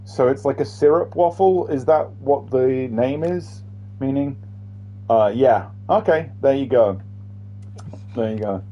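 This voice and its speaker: A man's voice: mid-range voice, measured pace, mellow, muffled recording. A man chatting and rambling casually while live-streaming a video game, with the odd swear word. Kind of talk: casual live-stream chat over gameplay.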